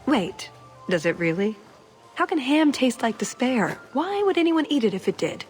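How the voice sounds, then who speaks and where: A woman's voice: on the high side, sing-song, normal speed, faint music behind. An actress playing a young elf thief in a video game, wry, sarcastic and restless in her delivery. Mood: playful, indignant.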